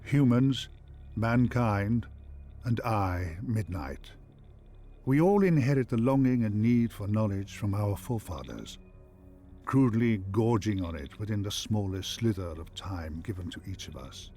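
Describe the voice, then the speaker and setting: A man playing an ancient, wistful ascended machine mind, speaking in a grandiose, measured and philosophical way. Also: on the low side, animated, mellow. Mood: grandiose, brooding. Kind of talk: theatrical scripted game voice acting.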